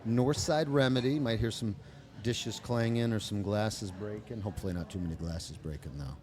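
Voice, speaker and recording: A man with a fairly low voice hosts a relaxed podcast chat in a restaurant. He talks in a lively, joking way, teasing his guest and keeping the conversation moving. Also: moderate pace. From New York. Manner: chatty, joking, lively interviewer. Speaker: podcast host. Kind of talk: casual interview chat.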